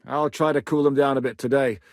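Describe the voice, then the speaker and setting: An actor playing a battle-hardened medieval rebel commander in a video game, gruff and dry-humoured, with a blunt, commanding, sardonic manner. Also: male voice, medium pitch, steady tone, a little fast, no room sound, close to an everyday voice. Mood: calm, dry. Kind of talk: dramatic video game character acting.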